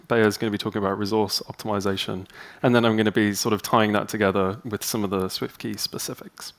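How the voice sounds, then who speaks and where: A man's voice: on the low side, a bit bright. A man giving a chatty, upbeat conference talk on stage into a handheld mic. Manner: upbeat, chatty, many ums. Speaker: conference speaker. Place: stage in a large hall.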